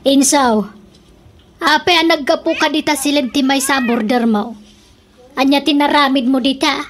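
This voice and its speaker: A woman's voice: high voice, fairly even intonation, measured pace, music in the background. A woman playing a suspicious older sister who scolds and questions her brother in a comedic radio drama.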